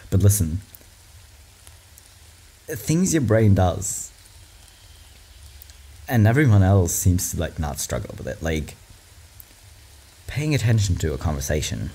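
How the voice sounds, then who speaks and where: Guy, lively swoopy voice, reacting to memes, rambly and chill. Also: low voice, breathy edge. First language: German. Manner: rambling, animated, laughs often, swears. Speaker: video creator reacting to memes. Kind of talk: casual meme reaction chat.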